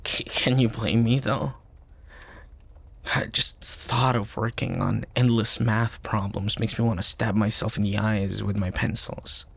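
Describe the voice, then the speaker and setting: A man playing a young college student, a protective, teasing and tender best friend, in an intimate ASMR roleplay with soft, sighing, hesitant delivery. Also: lowish voice, steady tone, a little slow. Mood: sheepish, playful. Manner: soft, sighing, hesitant stammers, breathy asides.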